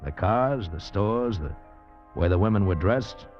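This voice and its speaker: A man's voice: on the low side, animated, unhurried. A man playing a slangy, cynical drifter who narrates his own story in 1940s radio noir style. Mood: suspicious narration. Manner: hard-boiled, slangy, casual. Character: handsome drifter, failed movie actor, wary cynical noir narrator. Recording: muffled vintage radio recording, loud music.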